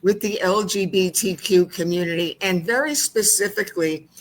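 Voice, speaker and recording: A woman's voice: fairly low pitch, normal speed, poor audio. A woman hosting a talk show, introducing her guest at length with effusive praise and some hesitant fillers. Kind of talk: interview introduction.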